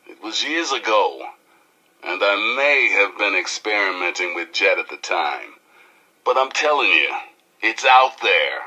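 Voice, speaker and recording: An actor playing a cocky, flamboyant post-apocalyptic rebel radio DJ with an American accent and showy, slangy delivery in a video game. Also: male voice, on the low side, bright, forceful tone, husky, heavily acted, poor audio. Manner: showy, slangy, drawn-out emphasis with howls. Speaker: radio DJ and newscaster. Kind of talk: theatrical radio DJ patter.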